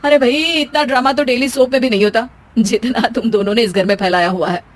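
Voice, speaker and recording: A woman's voice: fairly high pitch, steady tone, a little fast, lightly acted. A woman voicing an exasperated young husband and son in a comic family story, scolding and wry. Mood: reproachful.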